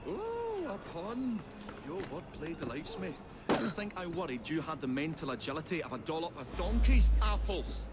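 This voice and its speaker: A man playing an eccentric, polite, nervy young Victorian gentleman inventor in a video game, with a British accent and a hesitant, wordy, theatrical way of talking. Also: a little high, sing-song, normal speed, very noisy. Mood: mocking, playful. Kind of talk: video game cutscene acting.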